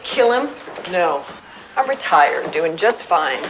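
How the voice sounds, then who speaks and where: A woman's voice: lowish voice, moderate pace, noisy background, lightly acted. A woman playing a blunt, defiant retired producer in her fifties in an audio drama, with a sardonic, bitter manner.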